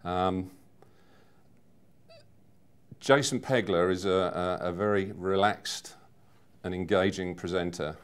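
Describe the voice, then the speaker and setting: A man in his fifties giving a personal testimonial in a measured, earnest way. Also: deep voice, smooth. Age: 50s. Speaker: seminar attendee giving a testimonial.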